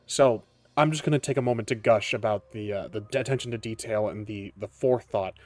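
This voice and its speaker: A man's voice: lowish voice, fairly quick, a little mellow. A man acting as game master, narrating a tabletop roleplaying game with casual asides.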